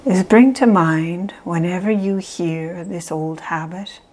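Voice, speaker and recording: Woman, calm meditation guide, low-ish voice, unhurried measured delivery. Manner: calm, measured, soft phrasing with long pauses. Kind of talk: slow guided visualization.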